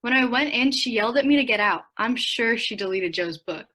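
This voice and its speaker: A woman playing a gentle, worried teenage girl in a radio play, speaking earnestly. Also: fairly high pitch, animated, a little fast.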